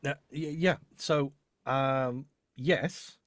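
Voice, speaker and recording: A man's voice: mid-range voice, extremely slow, a bit bright, no echo. A man giving casual professional advice as a demo producer in a live discussion, often correcting himself and repeating phrases. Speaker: voice-over demo producer and host.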